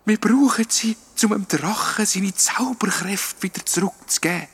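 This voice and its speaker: A storyteller voicing a small, timid, friendly ghost in a breathy half-whisper. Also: medium pitch, expressive, slow, dry recording, voice pushed up, heavily acted. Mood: whispery worried.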